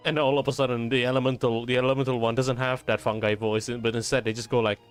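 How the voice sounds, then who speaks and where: A man's voice: mid-range voice, level tone, quick pace, smooth, faint music behind. A young man who streams games, reacting casually in slangy, sweary talk with stammers. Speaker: game streamer. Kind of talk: casual joking live reaction.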